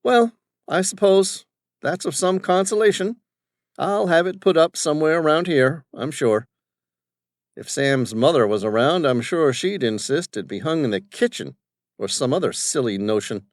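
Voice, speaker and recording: A haughty, moneyed old businessman who sneers at anything that does not pay, put on by a male narrator. The voice sits high and swoops with scorn. It is recorded close and dry. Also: expressive, moderate pace, no room sound, close to an everyday voice. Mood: pompous. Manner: pompous, condescending. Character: arrogant wealthy older businessman father.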